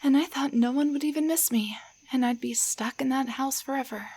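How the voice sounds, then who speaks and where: A woman playing a shy, grateful young waitress turned into a tiny doll in an intimate roleplay audio drama, speaking softly and haltingly, often near a whisper. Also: high voice, husky. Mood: grateful, relieved. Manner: hesitant, halting, stammering.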